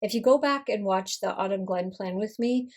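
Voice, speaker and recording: Chatty woman, a bit hoarse from a cold. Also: medium pitch, moderate pace. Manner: relaxed, rambling, self-deprecating. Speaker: stationery vlogger. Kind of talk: casual chatty demonstration.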